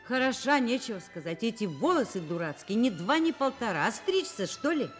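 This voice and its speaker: A woman's voice: on the high side, big pitch swings, fairly quick, soft background music. An actress playing a middle-aged woman professor in a radio drama, wry, reflective and matter-of-fact, exasperated yet indulgent. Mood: dissatisfied. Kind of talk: radio drama acting and first-person narration.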